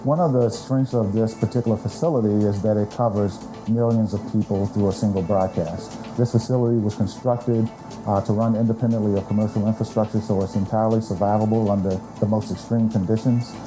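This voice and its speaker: An American man speaking as an emergency management official, explaining things in formal, measured phrases with small pauses. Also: lowish voice, fairly even intonation, rough recording. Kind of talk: explanatory on-camera statement in an official video. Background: clear background music.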